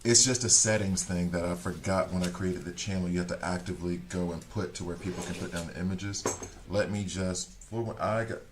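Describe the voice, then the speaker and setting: A man's voice: sits low, fairly even intonation, brisk, background noise. A man streaming a game, chatting casually with viewers in a rambling, talkative way and reading chat aloud. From Switzerland. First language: not German. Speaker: gaming streamer.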